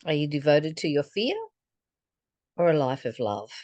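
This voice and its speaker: A woman reading a spiritual text and commenting on it reflectively, in a deliberate, earnest manner, like a teacher addressing the listener. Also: low-pitched, animated, darkish tone, no echo, dull audio. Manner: deliberate, earnest, posing rhetorical questions to the listener.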